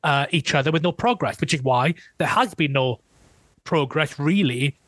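A Welsh man talking as a guest historian in a relaxed interview, thoughtful and hedging, often restarting his sentences. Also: a little high, normal speed, no room sound. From Wales. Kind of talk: casual interview conversation.

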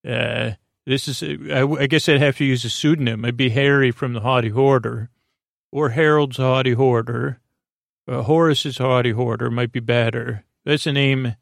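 Man, podcast host, creaky mid-pitched voice, meandering sleepy-time talk, halting delivery, very dry sound. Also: steady tone, no room sound. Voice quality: gravelly, creaky voice. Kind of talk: rambling bedtime monologue.

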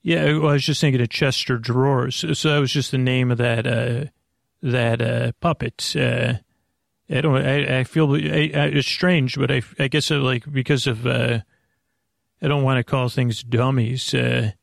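A man hosting a bedtime podcast in a creaky, lulling voice, meandering and halting as he drifts off topic and loses his thread. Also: medium pitch, fairly even intonation, no echo, clean studio sound. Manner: lulling, soothing delivery. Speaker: host of a bedtime sleep podcast. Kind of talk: rambling, off-topic bedtime monologue.

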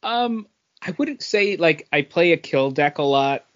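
A man's voice: fairly high pitch, expressive, unhurried, fairly bright tone. A man on a podcast panel, casually explaining things step by step. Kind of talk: casual hobby chat. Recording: dull lo-fi audio.

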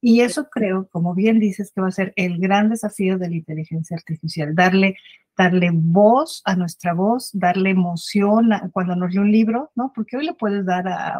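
A woman's voice: mid-range voice, moderate pace, a little mellow, dry recording. Chatty woman host, dry sound. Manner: chatty, ends points with tag questions. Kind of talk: conversational interview.

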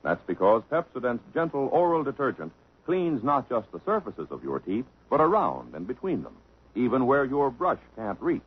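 Old radio ad guy, earnest, husky voice, lively lilt, muffled. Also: medium pitch, normal speed. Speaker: commercial spokesman. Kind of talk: 1950s radio commercial.

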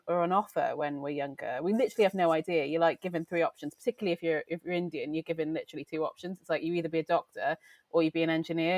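A woman's voice: lowish voice, dry recording. Chatty woman with a light Welsh accent. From Wales. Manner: chatty, reflective, frequent fillers and self-corrections. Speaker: beauty journalist, guest. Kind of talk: casual conversational interview.

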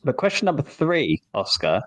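A man's voice: fairly high pitch, wildly swooping pitch, moderate pace, fairly bright tone, no echo. A man co-hosting a podcast, chatting casually and affirming his guest. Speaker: podcast co-host. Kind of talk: podcast interview.

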